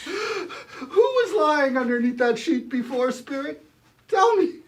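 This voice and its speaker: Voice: high voice, sing-song, slow, heavily acted. A performer playing an old miser who begs a spirit for mercy and then rejoices, in a theatrical stage style. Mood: pleading. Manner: theatrical, pleading then exclaiming. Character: old miser, repentant and overjoyed. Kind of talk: stage acting of a classic tale.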